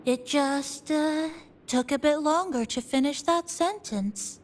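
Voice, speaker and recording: High-voiced, playful young anime woman. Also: slow, a little hiss or hum. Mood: sheepish. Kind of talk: anime game voice acting. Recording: music behind the voice.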